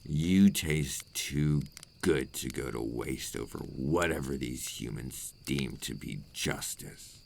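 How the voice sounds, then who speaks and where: A man's voice: a bass voice, slow pace, gravelly, slight background noise. A man playing a possessive, remorseful vampire captor in an intimate ASMR roleplay monologue, speaking in formal, old-fashioned phrases.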